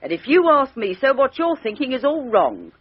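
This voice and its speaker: Radio play: respectable, nosy chauffeur's wife, very animated pitch, rough-edged voice, dull recording. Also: female voice, moderate pace, heavily acted. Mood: emphatic, insistent. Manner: chatty, emphatic, deferential, rambling. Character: gossipy, respectable working-class chauffeur's wife, eager and opinionated. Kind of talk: radio drama acting. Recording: dull, band-limited radio drama recording.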